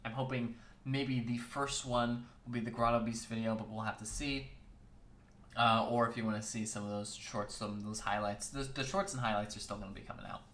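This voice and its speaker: A man hosting a weekly casual chat show, talking in a rambling way with lots of fillers and restarts, his voice hoarse. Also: mid-range voice, fairly even intonation, brisk, a bit bright, reverberant, slight background noise. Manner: rambling, filler-heavy, self-correcting. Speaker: host.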